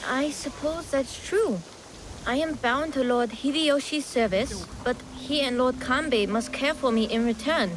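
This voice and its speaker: An actor voicing a dutiful, earnest young son of a feudal lord in a video game cutscene. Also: male voice, very high voice, unhurried, noisy background. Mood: earnest, resolute.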